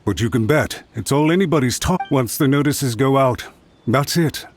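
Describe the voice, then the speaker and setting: An actor playing a haughty, scheming noble official in a video game, pompous, indignant and theatrically emphatic. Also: male voice, medium pitch, normal speed, faint music behind, natural voice. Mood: agitated, defensive. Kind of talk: dramatic video game voice acting.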